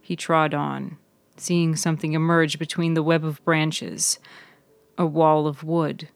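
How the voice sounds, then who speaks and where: Slow, even-toned woman narrating fiction. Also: low voice, gravelly, no room sound, faint music behind. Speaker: author and narrator of a serial fiction podcast. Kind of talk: scripted literary narration.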